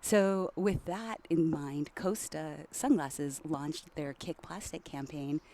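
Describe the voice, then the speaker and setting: A woman's voice: low voice, takes it slowly, warm tone. A woman from Hawaii, a campaign ambassador, giving fluent and upbeat interview answers at a trade show.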